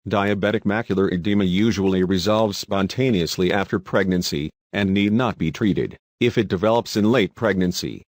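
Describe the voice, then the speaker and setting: A man's voice: deep voice, normal speed, few pauses, no echo, studio quality. A man from Somalia presenting a scripted educational narration as a health lecturer. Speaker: presenter of an eye health lesson.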